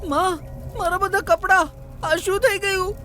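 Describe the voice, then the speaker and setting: Woman doing a very high, plaintive little child. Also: fairly even intonation, a little slow, sharp tone, heavily acted. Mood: upset, pleading. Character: frightened, upset young child of the ghost mother. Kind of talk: children's cartoon story dialogue. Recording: faint music behind voice.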